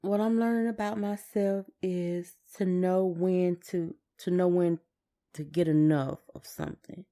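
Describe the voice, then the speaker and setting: A woman's voice: on the low side, fairly even intonation, unhurried, warm tone. A woman co-hosting a podcast in a casual personal chat, hesitant and often repeating and rephrasing her words. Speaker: podcast co-host.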